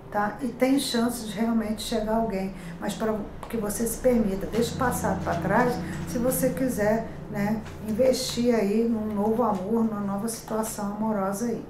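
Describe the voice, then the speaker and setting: A woman's voice: medium pitch, a little mellow. A middle-aged Brazilian woman with a Rio accent reading daily horoscopes to camera, warning and advising in a chatty manner, calling out each sign. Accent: Rio de Janeiro Portuguese. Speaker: tarot reader and horoscope host. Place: pink-walled room at a table with cards. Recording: echoey room, faint noise.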